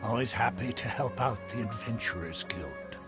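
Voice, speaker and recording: An actor playing an easygoing adult man in town who gives out information in a relaxed, wry way, with game music behind. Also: on the low side, moderate pace, lightly acted. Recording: game audio with background music.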